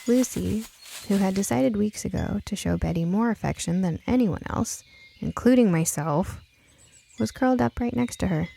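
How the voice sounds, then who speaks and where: A woman's voice: medium pitch, moderate pace, creaky, dark tone. A woman reading scripted first-person fiction as an audiobook narrator.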